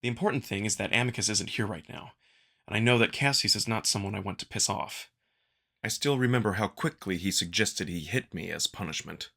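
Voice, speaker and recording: A man's voice: lowish voice, brisk, vocal fry, sharp tone. A man reading scripted first-person narration for a visual novel as the narrator.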